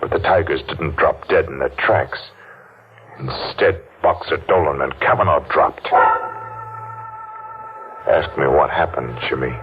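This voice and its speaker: A very deep-voiced taunting avenger. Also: male voice, music in the background. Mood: menacing. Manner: taunting, slow and deliberate, laughs mockingly. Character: menacing masked man, vengeful survivor. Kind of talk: 1940s radio acting. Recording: muffled old radio recording.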